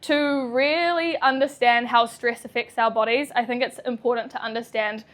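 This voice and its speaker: A young woman with a New Zealand accent, a chiropractor giving a live talk to an audience, nervous and chatty, laughing often and filling pauses with ums. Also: on the high side, fairly even intonation, a bit bright. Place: indoor venue with audience.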